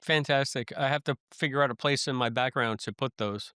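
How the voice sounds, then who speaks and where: A man co-hosting a podcast, talking through a review in a casual, halting, thoughtful way. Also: mid-range voice, pushed and bright, smooth, dry recording, studio quality. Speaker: podcast host.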